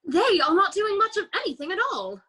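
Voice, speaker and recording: A woman's voice: very high voice, sing-song, fairly quick, sharp tone, full character voice. A woman playing a prim, self-satisfied, dramatic talking flower in a children's readers' theater performance.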